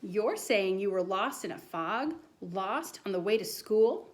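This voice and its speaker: A woman's voice: medium pitch, expressive, measured pace, a little hiss or hum, close to an everyday voice. A woman voicing a skeptical, stern schoolteacher from a storybook, disbelieving and scolding. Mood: flatly doubtful.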